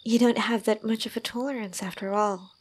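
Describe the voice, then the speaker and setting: A woman playing a gentle, doting young woman in an ASMR roleplay, soft and breathy, often near a whisper, soothing and lightly teasing. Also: a little high, steady tone, a little fast, lightly acted. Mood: gentle concern. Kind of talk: ASMR roleplay, intimate one-sided dialogue.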